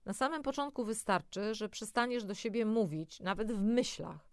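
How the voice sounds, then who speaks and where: A woman's voice: medium pitch, normal speed, dry recording, clean studio sound. A woman presenting a self-help talk in an encouraging way, speaking directly to the listener, partly from a script with spontaneous asides.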